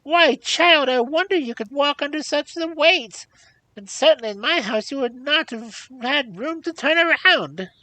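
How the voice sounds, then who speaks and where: A woman's voice: a little high, animated, unhurried, pushed and bright, dry recording, voice pushed up, exaggerated voice, poor audio. A woman voicing a miserly old hag in a storybook, grumbling, sarcastic and mocking as she haggles. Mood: greedy, delighted. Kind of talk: storybook character voice.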